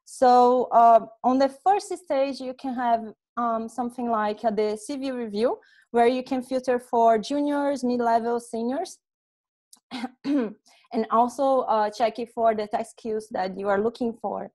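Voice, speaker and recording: A woman's voice: a little high, fairly even intonation, unhurried, warm tone. A young Brazilian woman with a Brazilian accent giving an informal online presentation in a conversational, hesitant way over a headset. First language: Portuguese. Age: young adult. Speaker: presenter, software testing specialist. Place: small room at home, indoors. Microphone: earphone headset on video call.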